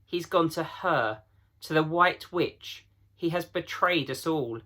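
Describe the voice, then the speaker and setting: A man's voice: a little high, takes it slowly, bright, forceful tone, pitched above the performer's own voice, full character voice. A man voicing a blunt, grave male beaver in a storybook reading, with weighty, urgent delivery. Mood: grim.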